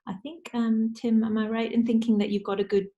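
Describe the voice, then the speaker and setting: A woman's voice: a little high, level tone, a little fast, mellow, dull audio. A woman moderating an online panel interview in a courteous, conversational way. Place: online video call.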